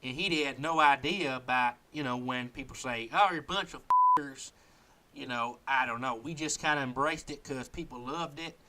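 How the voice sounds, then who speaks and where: A man's voice: mid-range voice, normal speed, very noisy, lightly acted. A man playing a folksy, cocky country character with a drawling Southern accent and a blunt way of talking, in a comic interview. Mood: proud, folksy.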